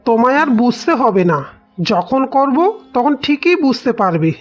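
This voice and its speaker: A woman's voice: on the high side, expressive, normal speed, faint music behind, close to an everyday voice. A woman voicing a cold, domineering village husband in a melodramatic cartoon. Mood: cold scolding. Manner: stern, dismissive. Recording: dull lo-fi cartoon soundtrack.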